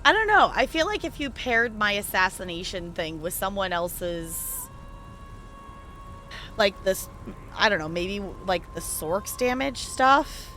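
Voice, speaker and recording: A woman's voice: mid-range voice, big pitch swings, a little slow, pushed and bright, faint music behind. A woman chatting casually on a game livestream, rambling and hesitant as she thinks aloud. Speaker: game streamer.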